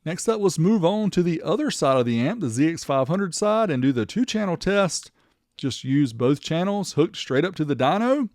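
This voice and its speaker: A man's voice: high voice, darkish tone, dry recording, studio quality. A man hosting a product test video, explaining each step and reading out results as he demonstrates. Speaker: host of a car audio amplifier test video. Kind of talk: demonstration narration with test readouts.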